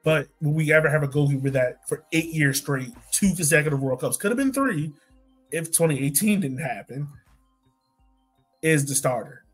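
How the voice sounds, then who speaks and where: A man's voice: fairly high pitch, expressive, normal speed, breathy edge, faint music behind. An American man co-hosting a sports podcast in casual chat, thinking aloud in a conversational way.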